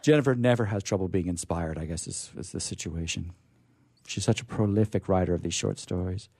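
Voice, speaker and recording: A man hosting a radio show, chatting and reading aloud in a rambling, halting way, often repeating words. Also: deep voice, creaky, darkish tone, slightly rough, dry recording. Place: radio studio. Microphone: studio microphone.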